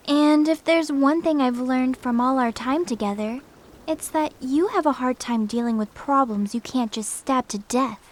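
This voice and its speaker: Woman acting a high-voiced, teasing young woman. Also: moderate pace, lightly acted. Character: playful, teasing young woman caring for a baby. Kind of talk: audio drama acting.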